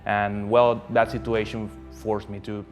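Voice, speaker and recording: Young man, earnest, low and very even voice, faint music under. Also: a little slow. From Venezuela. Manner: steady, earnest, with filler "uh". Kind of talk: personal testimony to camera.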